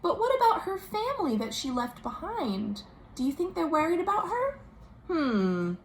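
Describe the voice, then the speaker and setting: A woman reading a picture book aloud to children as a storytime host, expressive, pausing to speak to her young listeners. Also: very high-pitched. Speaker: children's librarian hosting virtual storytime. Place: at home. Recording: noisy home recording.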